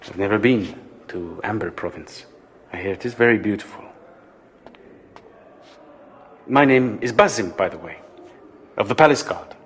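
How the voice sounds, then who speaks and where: An actor playing an eager, deferential palace guard in an audio drama, speaking politely, formally and carefully. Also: male voice, lowish voice, animated, fairly quick, slightly rough. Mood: courteous, careful.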